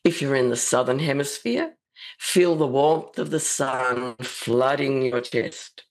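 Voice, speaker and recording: A woman with a slightly croaky voice hosting a psychic radio show and leading a slow, soothing guided meditation. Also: very deep voice. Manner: slow, soothing, gently spiritual.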